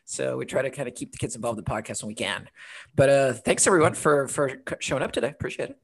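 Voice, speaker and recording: A man from Honduras co-hosts a casual podcast. His tone is friendly, and he tells the story at a brisk pace, sprinkled with uhs. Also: medium pitch, lively intonation, gravelly, breathy edge. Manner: friendly, quick storytelling with fillers. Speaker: podcast co-host.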